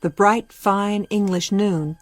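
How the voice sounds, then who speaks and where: A woman narrating a literary ghost story for a radio play, measured and solemn. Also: medium pitch, fairly even intonation, close to an everyday voice. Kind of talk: scripted narration.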